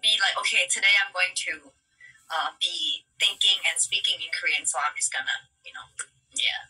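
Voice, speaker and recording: A young woman with a bright, husky voice chats on a live video call. Her first language is Thai, and she talks fast with plenty of "like" and "um". The stream audio is thin and sounds a little far from the mic. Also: lowish voice. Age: young adult. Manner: chatty, quick, lots of "like" and "um". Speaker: guest in a live video chat. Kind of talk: casual chat. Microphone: phone or laptop video call.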